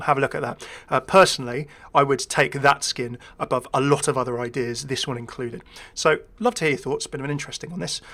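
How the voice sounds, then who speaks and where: A man presents a gaming video in a casual commentary style. His voice sits at an average male pitch. He talks in a chatty, meandering way, as a host thinking out loud. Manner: rambling, chatty.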